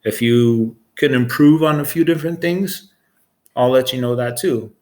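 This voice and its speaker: A man's voice: mid-range voice, normal speed, darkish tone. A man in his forties with an American accent, explaining his work as a supervisor in an informal interview, plain-spoken and matter-of-fact. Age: 40s. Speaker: air traffic control supervisor.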